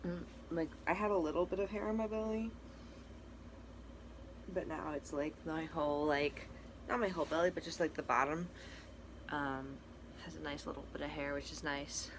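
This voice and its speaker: A young man with a cracky, breaking voice, giving a casual personal update in a halting, soft-spoken way. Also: fairly high pitch, steady tone. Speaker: vlogger. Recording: noticeable background noise.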